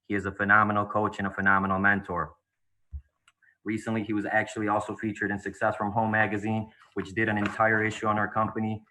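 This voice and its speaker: A man in his thirties, originally from Bulgaria, delivers a rehearsed, formal speech over a video call. His voice sits low and barely moves in pitch. The tone is very bright and forward. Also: monotone, fast talker, rough recording. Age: 30s. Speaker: real estate agent and guest speaker.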